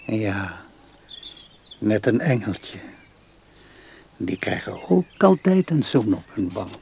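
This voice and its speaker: A man's voice: lowish voice, big pitch swings, slow pace, creaky, darkish tone, slightly rough, exaggerated voice. An actor in a radio play playing a simple, doting, earnest man who is easily worked up and insistent. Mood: tender, hushed.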